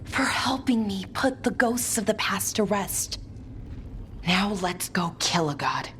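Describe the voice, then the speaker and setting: Earnest, hesitant young mage, ambience behind. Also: female voice, medium pitch, animated, slow pace, fairly bright tone, a little hiss or hum. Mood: hopeful. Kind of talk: acted game dialogue. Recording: game audio with clear background ambience.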